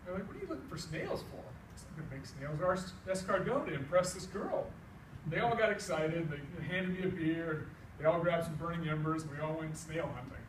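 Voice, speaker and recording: A middle-aged American man tells a comic tall tale live, in a folksy, animated way, acting out both sides of the dialogue. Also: fairly high pitch, fairly quick. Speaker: storyteller. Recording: echoey room, distant mic, faint noise.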